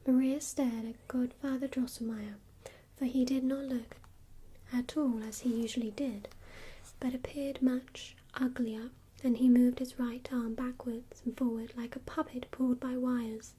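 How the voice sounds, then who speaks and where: A woman reading a storybook aloud in a soft, even whisper, unhurried throughout. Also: fairly high pitch, breathy edge.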